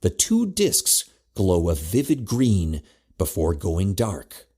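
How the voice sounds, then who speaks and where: A man's voice: low voice, huge pitch swings, slow, mellow, slightly rough, exaggerated voice. A man narrating a scripted teen adventure audio drama as the storyteller. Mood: measured, dramatic.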